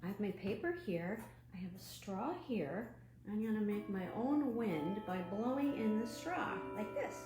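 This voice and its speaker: A woman leading an online children's storytime and craft from home, animated and putting questions to young viewers. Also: medium pitch, a little slow, music in the background. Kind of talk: children's storytime read-aloud and craft demonstration. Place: at home, indoors. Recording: roomy echo, faint background noise.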